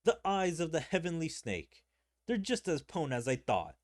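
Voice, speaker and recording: A man's voice: fairly high pitch, big pitch swings, moderate pace. Man reading as a formal, composed anime game fighter.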